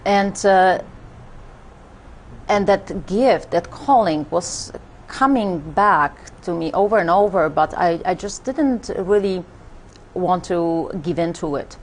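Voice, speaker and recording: A woman with a Polish accent and a low voice speaks as an interview guest. She talks haltingly, with frequent fillers and restarts. Faint noise sits under the voice. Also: expressive. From Poland. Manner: hesitant, many fillers and restarts. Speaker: guest, spiritual teacher. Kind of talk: interview conversation.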